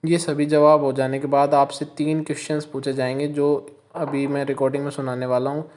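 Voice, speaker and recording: A man's voice: a little high, level tone, a little fast, a little mellow. A man presenting an explanatory voice-over tutorial, talking listeners plainly through each step.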